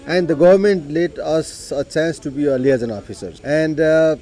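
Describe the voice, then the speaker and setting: A middle-aged man with a Nepali accent, telling his life story in an unhurried way, with frequent "you know" and throat clearing. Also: fairly high pitch, dark tone. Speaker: interviewee. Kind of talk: personal life story told in conversation.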